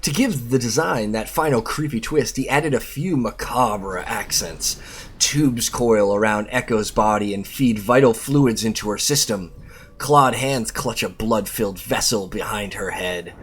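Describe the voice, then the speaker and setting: A man's voice: on the low side, unhurried, slightly rough. A man hosting a video, reading an article aloud in an informal way and breaking off for his own sweary asides. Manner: informal, self-interrupting, sweary. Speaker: YouTube host and reviewer.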